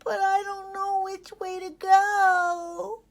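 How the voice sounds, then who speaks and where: A woman voicing a timid, earnest little male puppy in a storybook, with a small squeaky, plaintive voice. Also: level tone, slow, exaggerated voice. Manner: whimpering, earnest.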